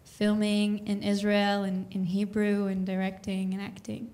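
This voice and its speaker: A woman interviewer posing a question in a level, even manner. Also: medium pitch, measured pace.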